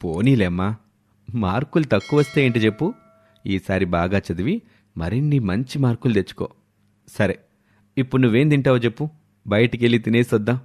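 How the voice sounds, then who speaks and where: Man playing a gentle, low-toned doting father. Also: fairly quick, pauses often, close to an everyday voice. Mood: consoling. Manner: soothing, gently instructive. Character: doting, gentle father advising his daughters.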